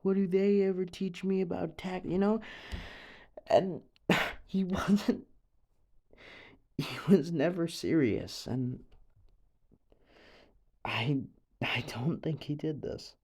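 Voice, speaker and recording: Halting, slow-paced young American truth-teller acted by a man. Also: high voice, a little mellow, full character voice. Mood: defensive, agitated. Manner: halting, stammering, rambling, sighing. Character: earnest, rattled young small-town conspiracy truth-teller on radio. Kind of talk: improvised-sounding radio confession roleplay.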